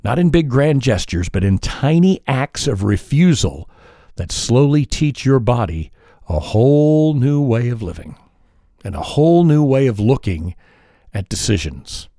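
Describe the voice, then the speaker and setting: A man hosting a solo podcast, talking in a calm, measured, reflective way, sometimes pausing or correcting himself mid-sentence. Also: mid-range voice, no room sound, clean studio sound. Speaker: podcast host.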